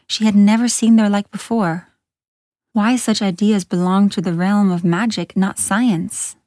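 A woman's voice: medium pitch, steady tone, measured pace, darkish tone, dry recording, clean studio sound, lightly acted. A woman voicing a curious, earnest young female inventor in a storybook, eager and formal in her speech. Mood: amazed.

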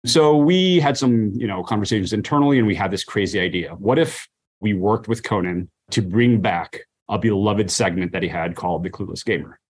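A man's voice: fairly low pitch, a little fast, husky, low-quality recording. A man giving casual, conversational interview answers about his work, often saying "you know" and "like". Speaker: brand marketer, interview guest.

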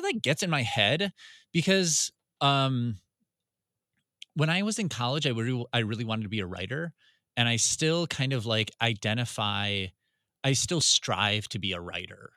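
A man's voice: mid-range voice, very animated, normal speed, bright, forceful tone, no room sound, studio quality. A man, a radio music director answering interview questions in a searching way, full of restarts. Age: 30s.

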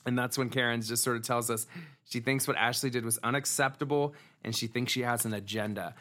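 A man co-hosts a casual podcast and recaps a reality show episode. He talks in a chatty, loose way, full of fillers and false starts. His voice sits at an ordinary middle pitch. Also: fairly even intonation, sharp tone. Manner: chatty, fast, fillers and repeated restarts. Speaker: podcast co-host.

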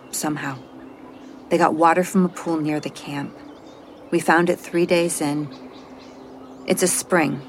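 A woman's voice: low-pitched, a little hiss or hum. An actress playing an anxious, flustered botanist on a space mission, hesitant and self-doubting as she gives a report in an audio drama. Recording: moody music score underneath.

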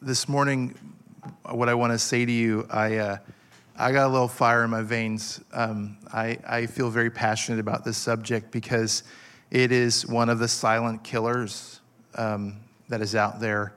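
A man's voice: medium pitch, little pitch movement, fairly bright tone, clear, resonant tone. Impassioned man in his forties preaching. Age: 40s. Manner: impassioned, halting restarts with frequent fillers. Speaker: pastor. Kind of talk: sermon.

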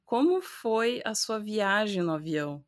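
A woman's voice: medium pitch, big pitch swings, unhurried, no echo, clean studio sound. Brazilian woman with a light southern accent. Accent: light gaúcho accent mixed with Porto Alegre. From southern Brazil, Rio Grande do Sul countryside. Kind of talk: casual conversation.

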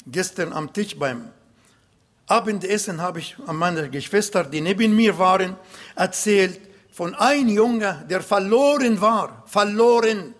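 A man preaching a sermon in German with an Arabic accent, non-native grammar and emphatic, slowly built phrases. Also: high-pitched. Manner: emphatic, slow, swells louder on key points.

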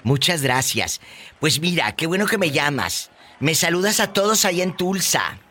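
A man with a Mexican accent hosting a call-in radio show, teasing callers and laughing loudly. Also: fairly high pitch, very animated, moderate pace. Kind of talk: playful radio call-in banter.

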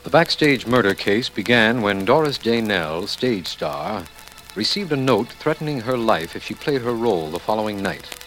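An actor playing an earnest district attorney who narrates a detective mystery in formal, old radio drama style. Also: male voice, lowish voice, animated, moderate pace, fairly bright tone, faint music behind, poor audio. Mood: measured narration. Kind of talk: 1940s-50s radio drama acting.

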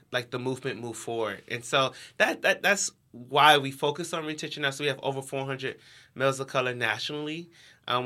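Interview guest: American male, young adult, crisp bright timbre, informal conversational delivery. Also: medium pitch. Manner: informal, heavy use of "like". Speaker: interview guest, education nonprofit co-founder.

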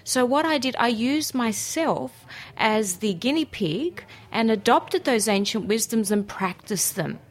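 A woman's voice: fairly high pitch, expressive, unhurried. A woman with an Australian accent whose first language is Greek, telling her story enthusiastically as a guest in a casual interview. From Greece and Australia. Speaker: radio interview guest, cookery teacher and food writer.

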